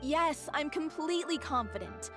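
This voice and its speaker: A high-voiced young leading lady speaks with firm determination. She is earnest and devoted, quick to indignation, as in a video game. Music runs clearly behind her. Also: expressive. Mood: earnest, confident.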